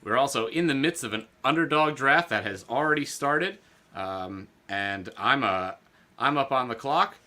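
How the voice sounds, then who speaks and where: Bright-toned man chatting about betting. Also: mid-range voice, lively intonation, normal speed. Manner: loose and rambling, many fillers and restarts. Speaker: podcast co-host. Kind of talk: casual sports betting chat.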